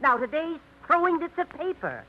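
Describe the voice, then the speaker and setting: An actress playing a fussy, prim middle-aged aunt in a 1950s radio drama, prying and fretting, on a dull old radio recording. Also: female voice, sits high, very animated, normal speed.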